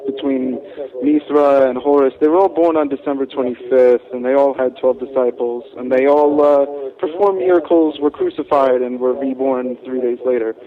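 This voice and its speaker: A man's voice: medium pitch, fairly even intonation, crowd noise. Stammering male caller on tinny phone line. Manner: polite, halting, stammering, repeating himself. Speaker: caller to a live show. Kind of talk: phone-in discussion.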